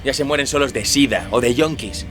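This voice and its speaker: An actor playing a callous young skinhead suspect, curt, evasive and contemptuous, in a dramatized true-crime podcast. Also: male voice, mid-range voice, fairly quick, natural voice. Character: defiant, callous young male skinhead suspect under interrogation. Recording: music bed behind the voice.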